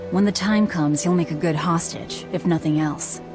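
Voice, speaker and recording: A woman's voice: on the low side, normal speed, close to an everyday voice. A woman voicing a blunt, calculating female fighter with a curt, no-nonsense manner in a video game, over music. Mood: cold, calculating. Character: blunt, hard-nosed, calculating female pirate-crew fighter.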